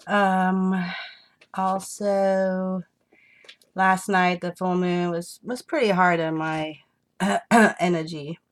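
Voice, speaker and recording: A woman with a cold, sounding congested, who talks slowly to her viewers and reassures them with many fillers. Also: lowish voice, steady tone. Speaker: spiritual reader. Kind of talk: casual spiritual vlog.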